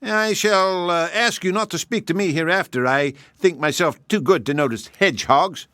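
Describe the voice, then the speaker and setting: A man voicing a boastful, haughty male hare in a high, sneering tone. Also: moderate pace, pushed and bright, dry recording. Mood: scornful.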